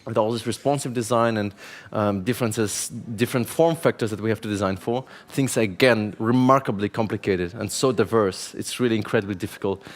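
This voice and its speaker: A man's voice: lowish voice, a little fast, few pauses. A middle-aged man moderating a conference panel, chatty and self-deprecating, asking long strings of questions. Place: conference stage.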